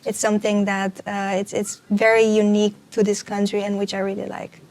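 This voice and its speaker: A woman's voice: medium pitch, monotone, fairly quick, a little mellow. A young woman from Romania, an international student guest, talking earnestly in a casual panel chat with many restarts. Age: young adult.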